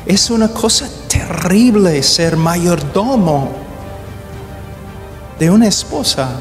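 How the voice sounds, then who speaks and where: Slow, halting man preaching in a hall. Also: sits high, lively intonation. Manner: deliberate, halting, searches for words; grows urgent and emphatic. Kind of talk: sermon. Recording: long hall reverb, faint music.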